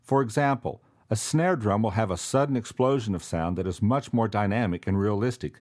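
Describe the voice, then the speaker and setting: Casting: male narrator, lowish voice, smooth scripted product explainer read. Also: brisk. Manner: explanatory, even and polished.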